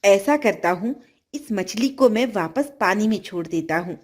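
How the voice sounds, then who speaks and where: A woman's voice: medium pitch, animated, normal speed, voice pushed down, lightly acted. A woman voicing a poor, kind-hearted young boy in a children's fairy tale, polite, earnest and pleading. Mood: tender, thoughtful.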